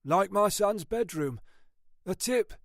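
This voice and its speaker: Man playing a skeptical policeman, offhand, lively lilt, dry close sound. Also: high-pitched, takes it slowly, no room sound, pitched above the performer's own voice, exaggerated voice. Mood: doubtful. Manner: doubtful, offhand. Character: easygoing, doubtful male police colleague.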